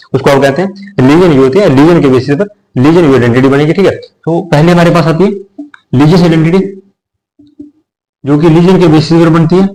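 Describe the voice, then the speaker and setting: A man's voice: a little high, a little mellow, poor audio. A man teaching a social studies lesson in a casual, explanatory way, often repeating himself and checking in with his students. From India. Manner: conversational, repeats phrases, checks in with listeners. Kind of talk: explanatory lecture.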